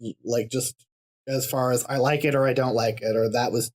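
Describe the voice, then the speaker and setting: A man's voice: medium pitch, fairly even intonation, brisk, a little mellow. Man, podcast guest, mid-range voice, hesitant conversational manner. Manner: hesitant, thinking aloud. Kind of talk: casual podcast chat.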